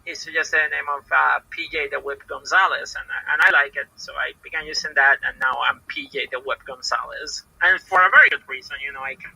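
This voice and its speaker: Halting Puerto Rican woman, bright tense voice. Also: low voice, gravelly, slightly rough, dry recording, low-quality recording. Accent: Puerto Rican Spanish. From Puerto Rico. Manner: hesitant, halting, many repeated words and fillers. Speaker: professional wrestler, podcast guest. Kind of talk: casual interview answers.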